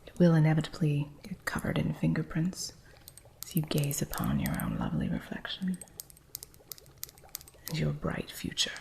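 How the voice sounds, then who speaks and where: A woman voicing an eccentric, possessive witch who runs a curio shop, speaking in soft, unhurried ASMR whispers close to the microphone with a stern, territorial edge. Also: low voice, wildly swooping pitch, gravelly, heavily acted. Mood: hushed whispering. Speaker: ASMR roleplay artist.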